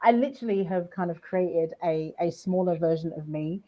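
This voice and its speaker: A woman's voice: fairly low pitch, moderate pace, warm tone. A middle-aged woman from the UK with a British accent, chatting animatedly as a podcast guest with frequent restarts. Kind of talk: casual podcast chat. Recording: dull lo-fi sound.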